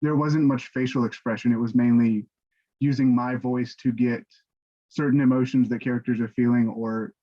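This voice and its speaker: A man speaking in a measured, earnest way during a casual online panel discussion over a video call. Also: mid-range voice, fairly even intonation, dark tone. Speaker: stage directions reader. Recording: dull, lo-fi video-call audio.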